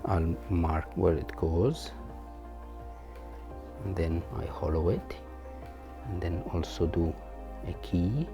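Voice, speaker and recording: A man's voice: sits low, takes it slowly, pauses often, music in the background. A man from Syria with a Syrian Arabic accent, a native Arabic speaker, explaining craft as a sculptor in plain steps with slightly non-native grammar. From Aleppo, Syria. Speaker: sculptor and archaeologist. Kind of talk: explaining craft, documentary narration.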